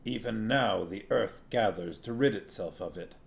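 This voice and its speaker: A man's voice: fairly low pitch, normal speed, creaky. A man voicing a stern, commanding disembodied spirit that speaks sharply and with authority in a fantasy storybook reading. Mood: grim, assuring.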